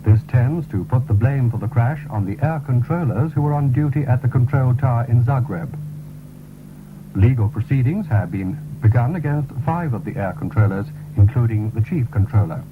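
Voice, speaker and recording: A man reading a formal, scripted radio news bulletin in a measured way, on a 1970s broadcast. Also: medium pitch, animated, music in the background. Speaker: radio newsreader. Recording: tinny, narrow-band 1970s radio broadcast recording.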